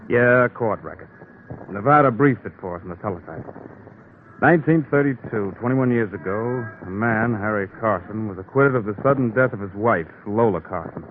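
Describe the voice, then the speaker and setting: An actor playing a folksy, sardonic police officer in a 1950s noir radio drama, with wry, slangy delivery. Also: male voice, lowish voice, moderate pace, lightly acted. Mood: easygoing, dry. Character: folksy, sardonic male police colleague, needling and dry. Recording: noisy, narrow-band old radio recording.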